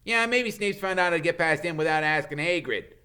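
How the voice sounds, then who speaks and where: A man voicing an eager, blunt schoolboy friend in an animated, informal way. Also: on the high side, a little fast, clear, resonant tone, voice pushed up. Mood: excited.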